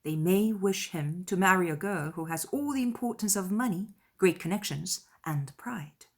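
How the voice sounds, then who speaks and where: Woman doing a feisty opinionated young period lady, firm punchy stresses, bit husky, audiobook drama. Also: lowish voice. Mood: insistent. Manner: emphatic, indignant, deliberate stresses. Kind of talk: dramatized period novel dialogue.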